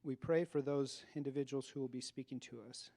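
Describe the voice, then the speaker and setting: A man with an American accent leading a formal public prayer in a hall, speaking in a reverent, measured way with old-fashioned thee and thou wording. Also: medium pitch, steady tone, dark tone. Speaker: prayer leader at an event. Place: event hall.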